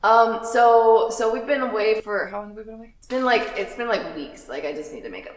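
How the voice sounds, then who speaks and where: A woman's voice: a little high, lively intonation, a little fast, poor audio. A woman playing a tabletop roleplaying game, chatting casually and half in character, rambling and correcting herself with a giggly manner.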